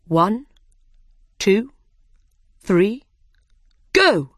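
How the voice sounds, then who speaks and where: Storybook dodo, male played by a woman: pompous and bossy, very slow, deliberate delivery, close dry sound. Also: mid-range voice, very animated, dry recording, full character voice. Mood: commanding. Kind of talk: children's storybook character reading.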